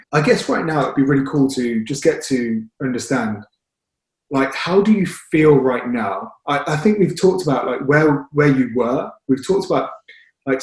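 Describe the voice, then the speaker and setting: A man's voice: medium pitch, a little mellow, roomy sound. A man, a fitness coach hosting, asking questions in a chatty, encouraging way over a video call. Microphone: video call webcam.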